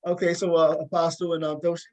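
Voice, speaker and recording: Male talk show host, slightly high voice, conversational, halting delivery with repeated words. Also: level tone, darkish tone. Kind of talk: casual conversational interviewing.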